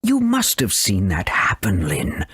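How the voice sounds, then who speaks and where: A man voicing a fussy, caring elderly owl with a raspy voice and theatrical delivery in a video game. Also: low voice, wildly swooping pitch, unhurried, fairly bright tone, dry recording, clean studio sound, heavily acted. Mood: grave, sorrowful. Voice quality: raspy, creaky.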